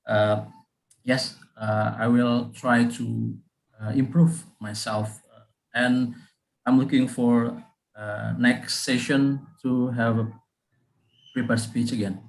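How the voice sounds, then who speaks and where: Gravelly, hesitant man on a video call. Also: mid-range voice, little pitch movement, takes it slowly, low-quality recording. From Indonesia. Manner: hesitant, many fillers. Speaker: club member, speech winner. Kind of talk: short impromptu remarks.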